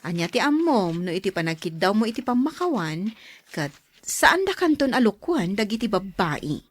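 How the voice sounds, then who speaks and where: Radio drama role: a plain-spoken, reproachful sister, animated intonation, dry studio recording. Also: female voice, mid-range voice, moderate pace, breathy edge, no echo. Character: frank sister, chiding her brother.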